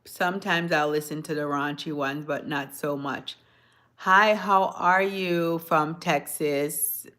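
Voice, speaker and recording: A woman from Jamaica chatting on a phone livestream, answering viewers' comments in a soft-spoken, sometimes hoarse voice. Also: sits low, fairly even intonation, takes it slowly. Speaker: livestream host. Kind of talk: casual livestream chat with viewers.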